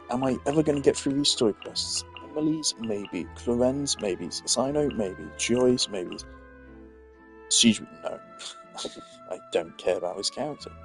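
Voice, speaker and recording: A man's voice: fairly low pitch, a bit bright. A man giving casual, rambling gaming commentary, thinking aloud as a streamer. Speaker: game streamer.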